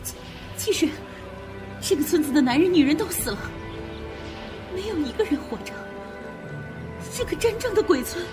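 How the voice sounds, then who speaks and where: A woman's voice: extremely high pitch, lively intonation, moderate pace, pauses often. An actress playing a frightened young woman who tells of ghostly horrors in a Chinese audio drama. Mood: grim, alarmed. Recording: music under the voice. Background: dramatic music.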